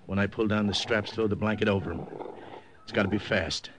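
A man's voice: on the low side, steady tone, brisk, noisy background, lightly acted. An actor playing a tough American wartime secret agent who narrates his mission in clipped, hard-boiled radio-drama style. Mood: tense, wry. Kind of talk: 1950s radio drama acting. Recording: old radio broadcast, music underneath.

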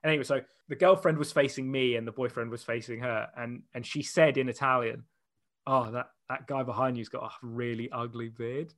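A man's voice: mid-range voice, steady tone, a bit bright, no room sound, clean studio sound. Young man, casual interview guest, easygoing anecdotal storyteller, laughs readily. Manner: chatty storyteller, quick to laugh. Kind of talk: casual chat.

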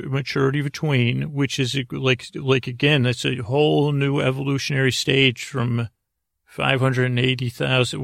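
A man with a gravelly, creaky voice, recorded close and dry. He hosts a bedtime podcast, wandering through tangents, doubling back on his words and breaking off mid-sentence. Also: mid-range voice, no room sound. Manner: meandering, halting, self-interrupting, with tangents and repeated words. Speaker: podcast host. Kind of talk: rambling bedtime monologue to help listeners sleep.

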